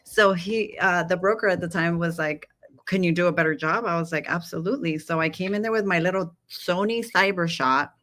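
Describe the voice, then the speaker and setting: A woman's voice: on the low side, fairly quick. A woman in her forties from Guatemala, raised in Los Angeles, telling a story as a guest and animatedly acting out the dialogue. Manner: animated, acts out quoted dialogue. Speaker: marketing guest. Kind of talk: casual storytelling interview.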